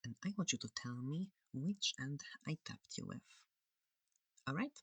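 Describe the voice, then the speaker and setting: A soft-spoken ASMR performer of unclear sex playing a curious, gentle alien examiner, halting and clinical yet reassuring, breathy and often whispering close to the microphone. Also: medium pitch, lively intonation, dry recording. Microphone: close, dry microphone.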